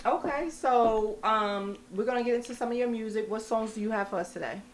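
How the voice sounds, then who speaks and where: Upbeat woman hosting a noisy radio show. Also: on the high side. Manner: chatty and upbeat, quick to laugh. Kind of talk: casual radio talk show chat.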